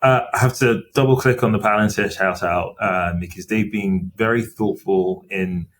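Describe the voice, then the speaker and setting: Low-voiced man explaining at measured pace. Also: fairly even intonation. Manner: measured, explanatory, with frequent fillers. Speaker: company CFO as podcast guest. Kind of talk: interview conversation.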